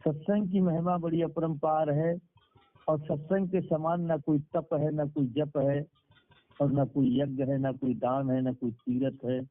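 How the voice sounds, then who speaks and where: Higher-voiced male preacher on a phone line. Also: flat delivery, measured pace. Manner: repeats phrases for emphasis. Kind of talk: devotional sermon with moral storytelling. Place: speaking over a conference call. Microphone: telephone. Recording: tinny phone conference-call line.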